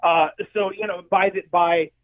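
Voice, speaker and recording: A man who directs films, a guest telling stories in a casual interview, animated and swearing freely. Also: high voice, normal speed, no echo. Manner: animated, profane storyteller. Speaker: film director and effects artist, guest. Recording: dry tinny phone-like line.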